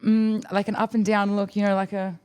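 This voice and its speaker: A woman's voice: medium pitch, flat delivery, fairly quick, darkish tone, clean tone. A young woman with an Australian accent, a podcast guest telling stories in a dry, self-deprecating way. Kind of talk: casual storytelling chat.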